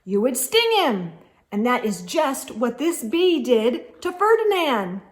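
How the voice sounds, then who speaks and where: A woman's voice: on the high side, big pitch swings, takes it slowly. A woman reading a children's storybook aloud as host, expressively and with emphasis, speaking directly to young listeners.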